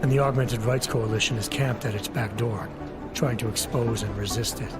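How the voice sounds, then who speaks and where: A man playing a gruff, guarded augmented counter-terrorism agent with a low, gravelly voice and terse deadpan delivery in a video game. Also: normal speed, close to an everyday voice. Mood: firm, serious. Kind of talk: video game cutscene acting. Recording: loud music behind.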